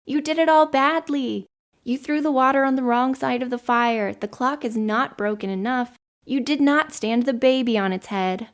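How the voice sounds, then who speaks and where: A woman's voice: fairly high pitch, moderate pace, lightly acted. A woman voicing a strange, teasing village girl who half speaks and half sings. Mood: eerie.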